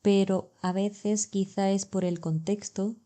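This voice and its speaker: Flat, mellow woman. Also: mid-range voice, slow. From Andalusia, Spain. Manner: calm, gives everyday examples. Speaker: podcast co-host. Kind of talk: casual educational chat.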